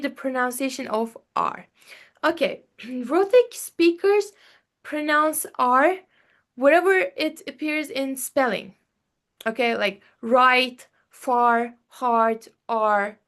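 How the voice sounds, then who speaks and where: High-voiced Turkish woman presenting. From Turkey. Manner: hesitant, many fillers and restarts, checks in with "okay?". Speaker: student presenter. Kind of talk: class presentation.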